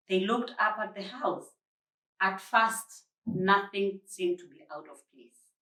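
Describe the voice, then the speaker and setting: A woman reading a children's story aloud with deliberate, dramatic phrasing and expressive stress on words. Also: mid-range voice. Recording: roomy echo, distant mic.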